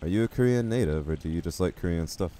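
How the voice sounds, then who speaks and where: Low-voiced man chatting while streaming a game. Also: animated, fast, warm tone, a little hiss or hum. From United States. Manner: rambling, self-deprecating, reads chat aloud. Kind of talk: casual gameplay commentary, chatting with viewers.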